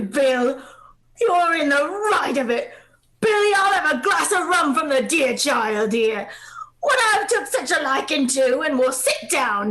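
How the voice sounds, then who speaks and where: A woman's voice: sits high, fairly bright tone, full character voice, rough recording. Woman acting a sly, swooping pirate shipmate. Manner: wheedling, salty seafaring speech. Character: sly menacing old pirate shipmate, male, wheedling.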